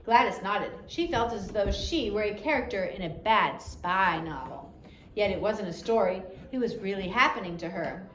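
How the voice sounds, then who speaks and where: A woman's voice: mid-range voice, lively intonation, moderate pace, fairly bright tone. A woman narrating a story aloud as an audiobook reader. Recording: roomy echo, faint background noise.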